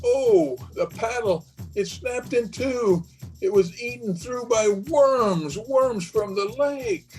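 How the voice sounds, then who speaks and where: Guilt-haunted killer played by a man, old-time radio horror: slow, feverish, melodramatic delivery over background music. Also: very high-pitched, wildly swooping pitch, gravelly, darkish tone, exaggerated voice, low-quality recording. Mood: fatalistic raving. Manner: melodramatic, feverish, repeating words. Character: guilt-ridden, haunted male killer, raving with dread.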